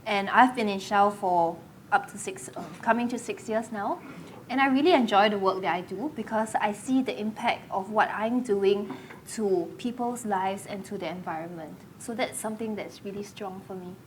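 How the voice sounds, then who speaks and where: A woman's voice: medium pitch, normal speed, a little hiss or hum. A woman engineer on a panel, speaking earnestly with small stammers and laughs. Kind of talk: panel talk about her career.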